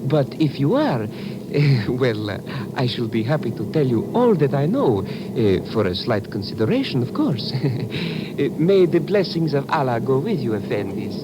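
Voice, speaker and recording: A man's voice: sing-song, normal speed, close to an everyday voice. A man playing a wordy, singsong, sly bazaar merchant. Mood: sly, unctuous. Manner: flowery, hesitant, overly humble speech. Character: obsequious Middle Eastern curio dealer, sly, wordy, ingratiating man. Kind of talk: old-time radio drama acting. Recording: noisy vintage radio recording, engine rumble.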